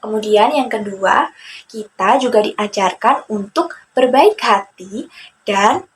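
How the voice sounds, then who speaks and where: A young Indonesian woman telling a story to children, speaking deliberately and addressing young listeners directly. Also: mid-range voice, expressive, poor audio. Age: young adult. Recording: faint background ambient noise.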